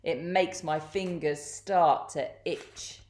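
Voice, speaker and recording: A woman's voice: sits low, fairly even intonation, slow, darkish tone, reverberant. A woman voicing a greedy, grumbling lanky male crook in a children's story.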